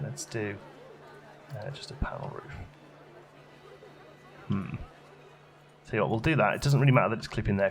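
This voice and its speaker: A man's voice: mid-range voice, darkish tone, slight background noise. A man giving casual gameplay commentary, chatting and musing aloud as he questions his own choices, as a let's play host.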